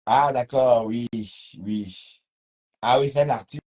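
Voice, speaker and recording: A man's voice: mid-range voice, takes it slowly, a little mellow. A man with a Cameroonian French accent talking casually on a live stream, reading viewer comments and answering them in a rambling way.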